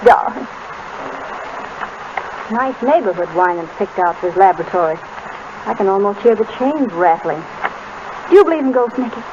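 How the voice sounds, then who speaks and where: A woman's voice: medium pitch, lively intonation, normal speed, pauses often, lightly acted. A woman playing a plucky, strong-willed, teasing wife of a sleuth in a 1930s radio drama. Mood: insistent, playful. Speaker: radio actor. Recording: muffled, noisy 1930s radio recording.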